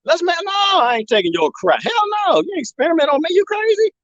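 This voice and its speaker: Animated man rambling outdoors, lo-fi phone. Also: very high-pitched, quick pace, no room sound. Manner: animated, joking, imitates bird chirps. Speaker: live streamer. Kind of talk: rambling outdoor live stream. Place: outdoors in the desert.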